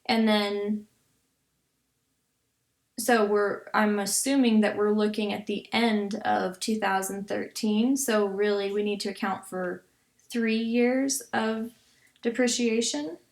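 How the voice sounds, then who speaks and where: A woman's voice: medium pitch, fairly even intonation, slow, gravelly. A woman instructor giving an explanatory tutorial, reading and thinking aloud with some self-correction. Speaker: accounting instructor. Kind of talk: explanatory tutorial, reading then working through a problem.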